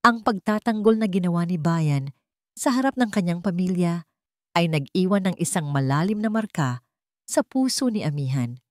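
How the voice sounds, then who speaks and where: A woman's voice: on the low side, expressive, normal speed, a little mellow, dry recording. A woman reading a scripted, dramatic story as its narrator.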